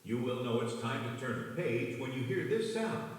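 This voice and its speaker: A man's voice: mid-range voice, sing-song, normal speed. A man reading scripted narration for a children's read-along storybook. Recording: echoey, distant, long reverb, music behind.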